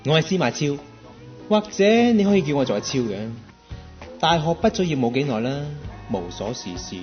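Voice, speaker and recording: A man's voice: on the high side, very animated, normal speed. A man with a Hong Kong Cantonese accent playing a laid-back, girl-chasing young graduate introducing himself in a radio drama. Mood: self-satisfied. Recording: dull lo-fi audio with music.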